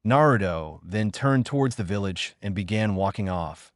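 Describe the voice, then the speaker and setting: A man with a fairly low voice narrates a scripted adventure story. The recording is dry and close, with very little room sound around the words. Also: lively intonation, normal speed, no room sound. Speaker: narrator of fan fiction story videos. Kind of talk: scripted story narration reading dialogue and action.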